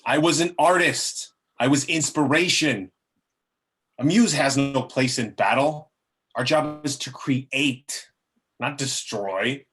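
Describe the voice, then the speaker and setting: A man's voice: mid-range voice, takes it slowly, fairly bright tone. A man acts out a scheming demon with a mid-range male voice. He delivers grandiose, self-justifying villain speeches with bitter theatricality, in the loose style of a table read. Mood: indignant. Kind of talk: amateur table reading of a film script.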